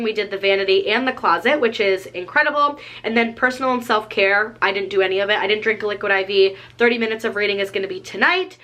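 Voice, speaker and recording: A woman's voice: mid-range voice, bright, forceful tone. A young woman vlogging at home, chatting casually as she walks through her things, with plenty of filler "like.". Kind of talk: casual chat, walking through items. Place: at home indoors.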